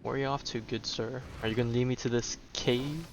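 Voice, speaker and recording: A man's voice: mid-range voice, fairly even intonation, fairly quick, noisy background. A man giving casual gameplay commentary as a streamer, rambling and wry. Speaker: gaming streamer.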